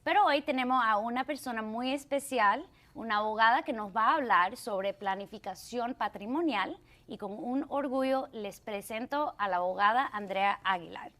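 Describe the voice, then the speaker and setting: A woman's voice: fairly high pitch, normal speed, hardly any pauses, a bit bright. A woman hosting a talk show, asking her guest questions and sometimes fumbling for words. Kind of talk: hosting an interview.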